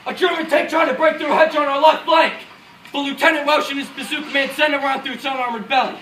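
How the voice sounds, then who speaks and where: A man's voice: extremely high pitch, steady tone, fast, bright, forceful tone. A man playing an American Second World War paratrooper officer, recalling battle in an earnest, declamatory stage monologue. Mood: forceful. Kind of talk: rehearsed dramatic war monologue. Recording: distant echoey room, faint noise.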